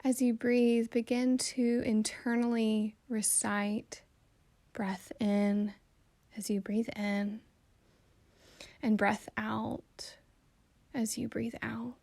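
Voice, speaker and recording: A woman guiding a meditation in a calm, soothing, gently instructive way, with soft phrases that trail toward a whisper. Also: a little high, extremely slow, gravelly, pauses often, no echo. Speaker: meditation guide. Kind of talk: slow guided meditation narration.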